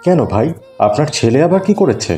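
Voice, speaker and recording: Mellow, preachy pious neighbourhood uncle played by a man. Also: a little high, expressive, a little fast, soft background music, lightly acted. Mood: concerned, reassuring. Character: kindly pious older neighbourhood man, patiently advising. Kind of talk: Islamic cartoon dialogue.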